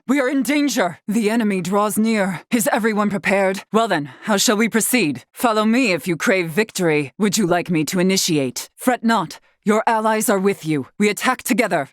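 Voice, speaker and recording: A woman voicing a proud, commanding female warrior in a video game, speaking with formal, measured diction. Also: mid-range voice, no room sound, studio quality, lightly acted. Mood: resolute, composed. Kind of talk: scripted video game character battle lines.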